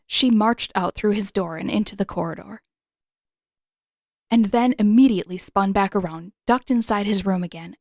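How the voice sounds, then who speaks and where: A woman reading scripted fiction as an expressive audiobook narrator. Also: fairly high pitch, dry recording, studio quality. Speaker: fan fiction author and narrator.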